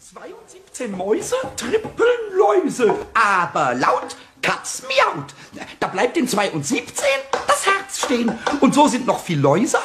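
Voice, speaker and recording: An actor playing a cheeky, whiny, childlike male kobold in a radio play, with a squeaky, raspy voice and excited stammering. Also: a little slow, background noise. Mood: gleeful.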